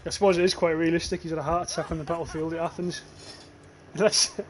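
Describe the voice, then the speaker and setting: Chatty northern English gamer, game noise behind. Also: male voice, a little high, flat delivery, fairly quick. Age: young adult. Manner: chatty, swears casually, reads out chat comments. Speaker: streamer. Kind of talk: casual livestream commentary while gaming.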